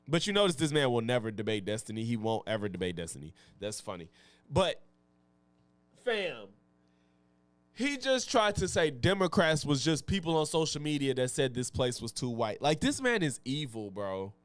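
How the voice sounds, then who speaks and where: A man's voice: medium pitch, big pitch swings, moderate pace, no room sound. A man doing live reaction commentary in a heated, profane, slangy manner. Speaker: political commentary streamer.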